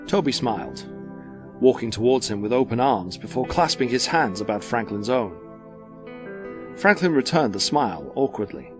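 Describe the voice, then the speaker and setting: A man narrating a dramatic story from a script in a measured, descriptive way. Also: mid-range voice, animated, over music.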